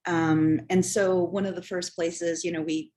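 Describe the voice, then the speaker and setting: Low-voiced woman telling her story. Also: level tone, darkish tone. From Chicago, United States. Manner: halting, many "um" and "you know". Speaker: panelist. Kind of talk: personal story on a panel.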